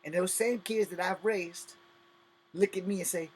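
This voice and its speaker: A man's voice: high voice, fairly even intonation, moderate pace, darkish tone, slight background noise. A man in his fifties hosting a personal vlog, talking bluntly and bitterly with profanity and emphatic repetition. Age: 50s. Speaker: host.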